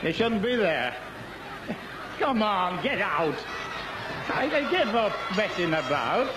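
A man's voice: sits high, sing-song, slow pace, music in the background, low-quality recording. A man with a Yorkshire accent giving jokey, cheeky live commentary on an outdoor game show. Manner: bantering, cheeky, chuckling. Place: outdoor park event. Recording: outdoor event, crowd and background noise.

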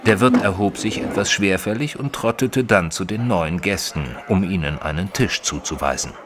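An actor playing a detective's companion, narrating an audio drama in a measured, literary, descriptive way. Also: male voice, low voice, close to an everyday voice. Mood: composed. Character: male companion of a detective, narrating in first person.

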